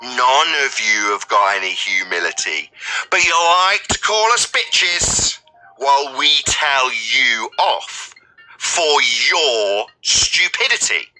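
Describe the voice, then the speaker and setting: A man's voice: mid-range voice, sing-song, slow, pushed and bright, soft background music, poor audio. A man berating an opponent in a heated debate, with a domineering, repetitive, scolding manner. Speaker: debate host.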